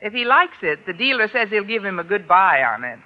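A woman's voice: medium pitch, big pitch swings, brisk, lightly acted. An American actress playing a wry, sardonic single woman teacher with dry wisecracking delivery in an old radio sitcom. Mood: dry banter. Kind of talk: 1940s-50s radio sitcom acting. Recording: dull, noisy old radio recording.

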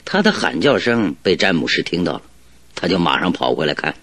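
Self-assured detective, low voice, animated swoops, theatrical, teasing, radio drama. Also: male voice, brisk, full character voice. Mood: assured, explaining. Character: confident, teasing male master detective. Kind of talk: radio drama acting in Mandarin.

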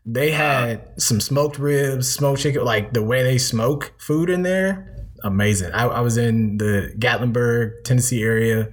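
Husky man telling easy stories. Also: mid-range voice, a little slow. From South Carolina. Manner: enthusiastic, easy storytelling. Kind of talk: casual chat.

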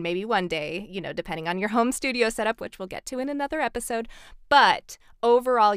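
A woman's voice: medium pitch, clear, resonant tone, no echo, studio quality. A woman co-hosting an instructional podcast, giving informal, emphatic advice in a conversational style. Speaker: podcast co-host, professional voice actor.

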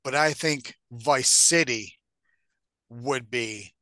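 A man's voice: medium pitch, very slow, bright, forceful tone, no echo. A man co-hosting a podcast in casual, profane banter, giving blunt opinions. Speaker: podcast co-host.

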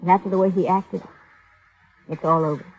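Guarded, husky radio-drama girlfriend. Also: lowish voice, fairly even intonation, a little fast, darkish tone, poor audio. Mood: anxious. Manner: terse, defensive. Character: guarded, anxious woman, murdered man's girlfriend. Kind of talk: 1950s radio drama acting.

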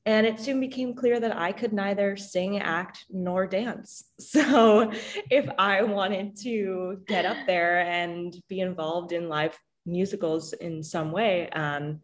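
A woman's voice: medium pitch, slow pace. A woman from New York City, a musical theater writer, chatting casually in an interview and often laughing at herself. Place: home, on a video call.

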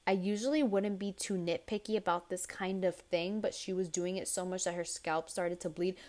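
Tired young woman podcaster, kinda low raspy voice, blunt, swears a lot, reading listener stories. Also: fairly even intonation, normal speed, a little mellow. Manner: blunt, chatty, swears freely. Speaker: podcast host. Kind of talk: casual advice podcast, reading stories aloud.

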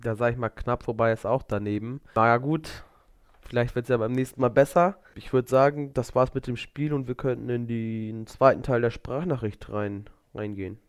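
A man's voice: mid-range voice, fairly even intonation, moderate pace, clear, resonant tone, dry recording, studio quality. A man co-hosting a faith podcast in casual chat, joking and reasoning aloud while he guesses. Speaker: podcast co-host.

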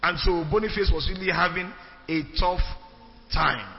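Man, high voice, lively call-and-response teacher, echoey hall. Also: normal speed. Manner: animated, checks in with the class. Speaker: church history teacher. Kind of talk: animated classroom lecture with call-and-response. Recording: echoey hall, dull sound, faint music.

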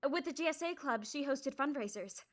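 A woman's voice: sits high, animated, moderate pace. A woman playing an earnest young girl who acts and narrates in an audio play, with a youthful voice. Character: Kitty, earnest young girl, Anna's friend.

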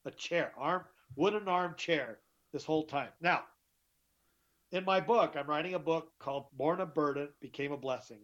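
A middle-aged man with a deeper voice, telling his story as an interview guest, emphatic and often repeating himself. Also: normal speed, fairly bright tone. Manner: emphatic, repeats and restarts words. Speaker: guest, author. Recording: dull lo-fi sound.

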